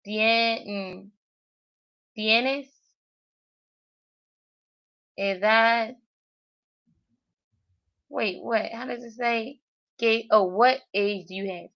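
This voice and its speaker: Woman, very slow halting speech, lots of ums, dull lo-fi stream audio. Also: on the high side. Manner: hesitant, self-correcting, lots of ums. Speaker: Spanish learner streaming a daily challenge. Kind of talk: thinking aloud while working through a language app.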